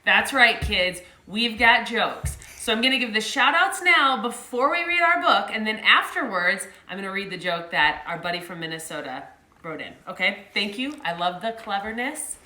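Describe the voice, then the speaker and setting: A woman's voice: mid-range voice, big pitch swings, moderate pace, pushed and bright. A woman hosting story time, reading a picture book aloud to children in an upbeat, chatty way. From Colorado, United States. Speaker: story time host and reader. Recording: echoey room.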